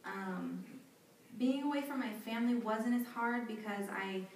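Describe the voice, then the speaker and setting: A young woman answering interview questions in a chatty, animated way, laughing often and leaning on casual fillers. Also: fairly high pitch, measured pace. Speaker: interviewee, volunteer back from abroad. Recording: echoey hall, distant mic, faint noise.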